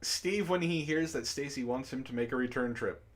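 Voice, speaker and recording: A man reading a story aloud to friends over voice chat, deadpan in the narration and laughing often between lines. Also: mid-range voice, moderate pace. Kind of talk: casual group reading and chat.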